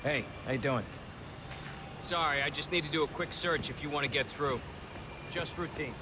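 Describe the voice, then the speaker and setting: Man playing security guard, fairly high voice, polite but firm, officious, game dialogue, noisy surroundings. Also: normal speed, natural voice. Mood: routine, firm. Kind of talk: video game character dialogue.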